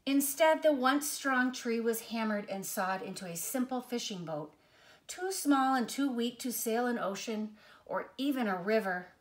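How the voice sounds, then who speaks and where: A middle-aged woman with a fairly high voice reads a children's story aloud. Her delivery is expressive and measured, with dramatic emphasis made for young listeners. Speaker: storyteller reading a children's picture book. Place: living room armchair, indoors.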